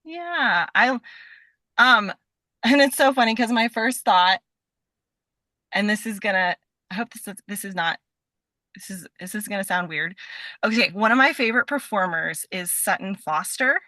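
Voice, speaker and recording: Bright-voiced, giggly woman chatting casually. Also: medium pitch, moderate pace, long pauses, no echo. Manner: giggly, effusive, rambling with many asides. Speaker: interview guest, performer. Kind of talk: casual chat.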